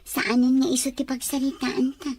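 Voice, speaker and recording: A woman's voice: high-pitched, monotone, moderate pace, darkish tone, slightly rough. A woman acting a troubled, suspicious daughter in a radio drama, with an Ilocano accent. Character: Margaret, troubled daughter suspecting a land grab.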